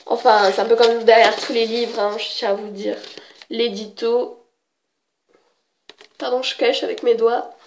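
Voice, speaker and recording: A woman's voice: on the high side, darkish tone, slight background noise. A woman with a cold chatting casually as she shows off her manga books, hesitant and full of fillers and self-corrections. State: has a cold. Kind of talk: casual show-and-tell.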